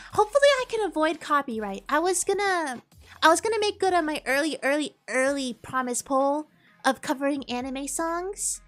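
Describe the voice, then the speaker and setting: A woman's voice: high voice, a bit bright. A young woman streaming and chatting casually with her viewers, bubbly and upbeat. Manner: bubbly, upbeat, with playful bits of singing. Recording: faint music behind.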